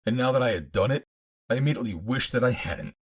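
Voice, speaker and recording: A man's voice: medium pitch, animated, fast talker, husky. A man narrating a pulp adventure audiobook in the first person, in dramatic fashion.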